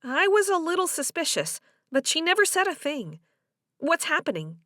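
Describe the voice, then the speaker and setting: A woman voicing an earnest young woman in audiobook dialogue, shy yet spirited, with an expressive, questioning manner. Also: very high voice, moderate pace, dry recording, clean studio sound, pitched above the performer's own voice, heavily acted. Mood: curious.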